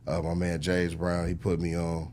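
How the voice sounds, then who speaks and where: A middle-aged American man telling his story casually as an interview guest, in slangy conversational speech with frequent throat clearing. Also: sits low, completely flat delivery, normal speed, darkish tone, a little hiss or hum. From United States, Chicago. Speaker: interview guest, record executive.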